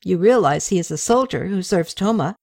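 A woman as a calm, gently amused young woman. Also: lowish voice, a little fast. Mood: patient.

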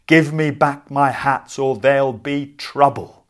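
A man's voice: mid-range voice, unhurried, close to an everyday voice. A man voicing an exasperated hat seller in a storybook tale, exclaiming theatrically. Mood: angry.

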